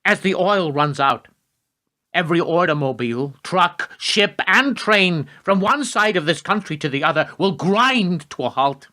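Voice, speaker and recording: Radio play villainous adviser, male actor: formal, calculating, insistent, with a tense, cutting edge and raised male pitch. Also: expressive, a little slow, sharp tone, full character voice. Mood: cold, calculating. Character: calculating, cold-hearted male presidential adviser from energy business.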